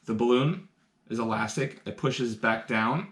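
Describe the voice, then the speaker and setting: A man's voice: mid-range voice, unhurried, reverberant, very noisy. A man teaching science through a live demonstration, upbeat and explaining each step for kids indoors. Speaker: science educator. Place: indoor room.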